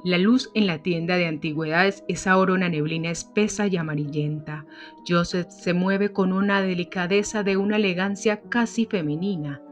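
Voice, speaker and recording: A woman narrating a scripted gothic mystery story in a measured, solemn manner. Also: lowish voice, highs cut off, faint music behind.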